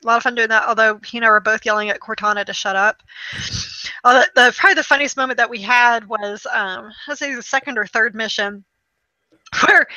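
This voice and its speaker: A woman with a bright, fairly high voice co-hosts a casual chat. She tells stories in an animated way, acting out who said what, and the sound is close and dry. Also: no echo, muffled recording. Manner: animated storytelling with acted-out quotes. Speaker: podcast co-host. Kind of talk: casual chat about films and video games.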